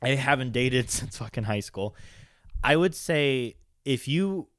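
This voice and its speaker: A man talks casually on a podcast with a very animated, swooping delivery. He jokes, interjects and swears freely. His pitch sits in the middle and the recording is dry. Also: a little slow, clear, resonant tone, no echo. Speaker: podcast host. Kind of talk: casual chat.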